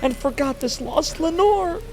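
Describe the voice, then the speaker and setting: A man's voice: very high-pitched, expressive, a little slow, music in the background, pitched above the performer's own voice. A man playing a haunted, grieving poetic narrator, melodramatic and pleading, in a dramatic poetry reading. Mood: desperate pleading. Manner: melodramatic, pleading, shrieking outbursts.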